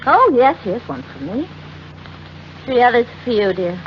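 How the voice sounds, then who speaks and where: Young rich wife in old radio drama, highish voice, earnest and melodramatic, muffled. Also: female voice, big pitch swings, a little hiss or hum. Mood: affectionate, teasing. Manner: earnest, melodramatic, halting under strain. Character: young wealthy wife, affectionate but anxious and secretive. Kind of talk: 1940s radio drama acting.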